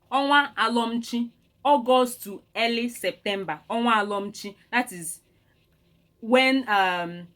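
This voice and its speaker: A woman with a Nigerian Igbo accent, a native Igbo speaker, teaching a language lesson deliberately and repeating terms for learners. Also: medium pitch, fairly bright tone. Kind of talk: instructional lesson with repeated vocabulary.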